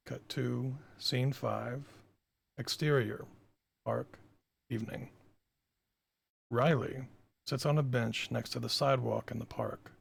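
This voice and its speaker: Male writer-director for table-read narration. Heavy vocal fry, raspy tone, informal and self-deprecating. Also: medium pitch.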